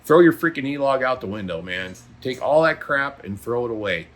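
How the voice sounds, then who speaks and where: A man's voice: medium pitch, expressive, brisk. A middle-aged or older man, a trucker talking casually to viewers in a blunt, folksy, opinionated and emphatic way. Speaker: trucker and video blogger. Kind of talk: casual vlog monologue.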